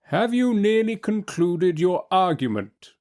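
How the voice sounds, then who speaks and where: Slow, pompous judge, high-pitched. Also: male voice, very animated, darkish tone. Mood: restless, stately. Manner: drawn-out, hesitant, over-distinct, pompous. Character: grand, deliberate elderly male judge, restless, extra distinct. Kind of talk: audiobook character acting.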